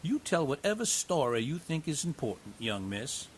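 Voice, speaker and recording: Man as a folksy reassuring investigator, expressive voice, faint tape hiss. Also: on the high side, normal speed. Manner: folksy, gently joking.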